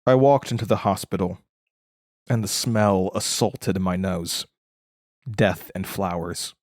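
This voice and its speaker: A man playing a hesitant, haunted investigator in an intimate horror audio drama, with a low-key, earnest delivery. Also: darkish tone, no echo, natural voice. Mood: grim, haunted. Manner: halting, stammering in dialogue, low-key and earnest.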